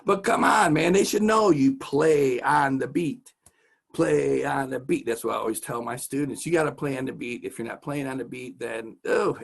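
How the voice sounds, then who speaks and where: A man's voice: medium pitch, gravelly, breathy edge. A man hosting a casual vocabulary lesson as a teacher, chatty and jokey. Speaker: host, music teacher.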